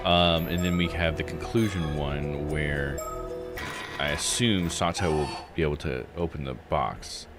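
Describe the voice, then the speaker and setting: A man's voice: a bass voice, fairly even intonation, measured pace, music in the background. A man giving casual game commentary, thinking aloud in a musing, tentative way as he plays a visual novel. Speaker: streamer playing a visual novel.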